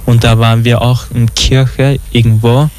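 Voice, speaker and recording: A man's voice: mid-range voice, flat delivery, moderate pace, darkish tone, slight background noise. A young man from Austria, a radio guest, answering hesitantly and searching for his words. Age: young adult. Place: radio studio.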